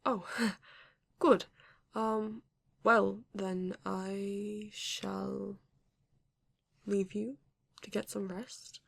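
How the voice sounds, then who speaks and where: A woman playing a courteous, bashful vampire in an intimate ASMR roleplay, speaking haltingly and formally with a gravelly, creaky voice. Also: medium pitch, level tone, full character voice. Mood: hospitable, bashful. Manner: hesitant, halting, formal yet endearing. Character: courteous, bashful vampire living alone in a castle.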